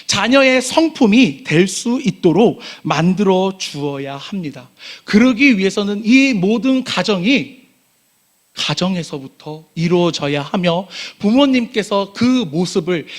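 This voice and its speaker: A young man preaching a sermon as pastor in a church sanctuary, earnest, pushing up on key phrases and dropping quiet at sentence ends. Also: high-pitched.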